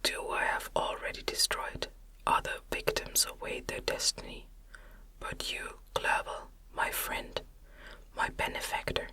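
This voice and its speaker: A woman reading a classic novel aloud in a gentle, soothing, breathy ASMR whisper with careful, unhurried phrasing.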